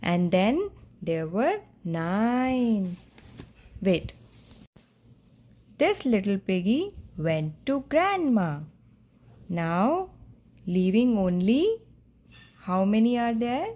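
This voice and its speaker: Indian female, mother reading to a little one. Soft-toned, expressive melody, instructive questions, recorded at home. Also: mid-range voice, very slow, pauses often. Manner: simple, instructive, prompting questions. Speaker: mother reading to a small child. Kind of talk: picture-book read-aloud with questions to a child. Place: at home, indoors on a bed.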